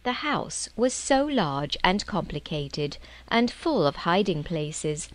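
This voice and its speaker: A woman narrating a children's storybook in an expressive, measured audiobook style. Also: on the low side.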